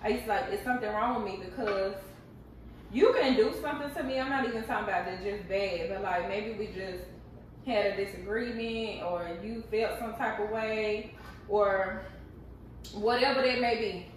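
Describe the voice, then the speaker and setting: A woman's voice: mid-range voice, normal speed, heavy reverb, recorded from a distance, a little hiss or hum, rough recording. A woman, chatting candidly and animatedly to her viewers while cooking in her kitchen. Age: 30s. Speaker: vlogger. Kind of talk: casual chat while cooking. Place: home kitchen.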